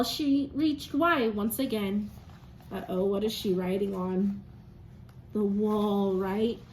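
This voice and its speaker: High-pitched woman reading to little kids. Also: a little hiss or hum, rough recording. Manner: sing-song, talks to young children, pausing to prompt answers. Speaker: teacher reading a picture book aloud to young children. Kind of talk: expressive children's read-aloud with questions to listeners.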